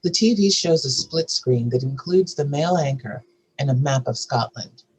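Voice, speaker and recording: Slightly husky male script narrator. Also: medium pitch, animated, normal speed, low-quality recording. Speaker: narrator of stage directions.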